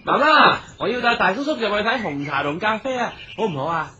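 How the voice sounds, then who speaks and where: A woman voicing a cheerful, clingy little girl in a radio drama, calling out high and excited. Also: a little fast, highs cut off, a loud crowd, natural voice. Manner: high, excited childish calls.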